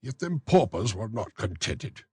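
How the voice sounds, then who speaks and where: A man's voice: fairly low pitch, big pitch swings, a little slow, darkish tone, husky, no echo, studio quality, pitched above the performer's own voice, full character voice. A man voicing a pompous, self-important male parish beadle who lectures in a blustering way. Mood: grumbling. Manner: blustering, self-satisfied, preachy.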